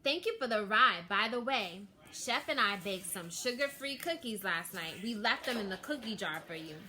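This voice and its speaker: A woman's voice: medium pitch, expressive, moderate pace, pushed and bright, a little hiss or hum. A woman voicing an eager, polite, earnest young boy in a children's storybook.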